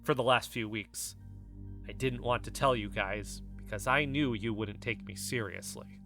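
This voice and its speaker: A man's voice: on the low side, moderate pace, bright, forceful tone, soft background music. A man playing a paranoid, agitated investigative podcaster in an audio drama, speaking with urgent insistence. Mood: grim, suspicious. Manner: urgent, insistent, dwelling on suspicions. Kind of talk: audio fiction podcast dialogue.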